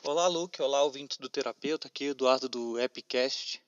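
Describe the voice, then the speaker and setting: A Brazilian man casually reminiscing in a hesitant way, pausing and repeating words, on a dull recording. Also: medium pitch, clean tone, dry recording. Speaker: podcaster. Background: plucked guitar music.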